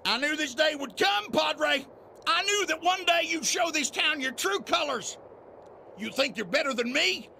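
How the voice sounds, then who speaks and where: A man's voice: very high-pitched, expressive, measured pace, gravelly, pushed and bright, a little hiss or hum, full character voice. A man playing a bitter, vengeful Western outlaw in a video game, snarling taunts and threats. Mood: gloating, hateful. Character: bitter, vengeful Wild West outlaw villain. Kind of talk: dramatic video game acting. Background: music.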